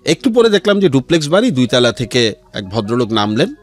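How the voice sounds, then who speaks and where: A middle-aged man with a Bangladeshi accent telling his life story as an interview guest, in a measured way with pauses and some English words mixed in. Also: mid-range voice, sing-song. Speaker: interview guest, civil servant. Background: background music.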